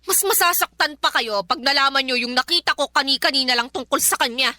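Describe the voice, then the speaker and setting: A woman's voice: high voice, fast talker, bright, forceful tone, no echo, clean studio sound. A woman playing a feisty, suspicious young daughter in a radio drama, accusing and sarcastic. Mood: insistent, indignant. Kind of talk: Filipino radio drama acting.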